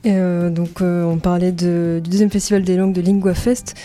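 Female radio host, casual and halting, soft dark tone, slightly low and level. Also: flat delivery, soft background music. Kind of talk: casual live interview.

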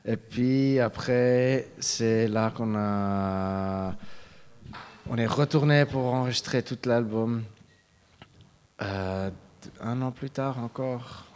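A man, a musician answering interview questions in a halting way. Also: lowish voice, a little mellow. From Switzerland. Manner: halting, with many fillers and restarts.

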